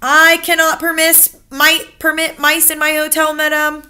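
A woman's voice: sits high, monotone, a little slow, pushed and bright, voice pushed up, exaggerated voice. A woman voicing a pompous, bristly male hotel manager who speaks with stiff, indignant formality.

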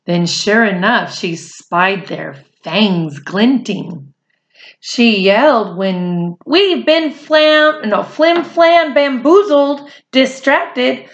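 A woman's voice: on the high side, dull audio. A middle-aged woman reading a picture book aloud to children expressively, with chatty asides. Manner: expressive, drawn-out delivery addressed to young children. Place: small indoor room at home.

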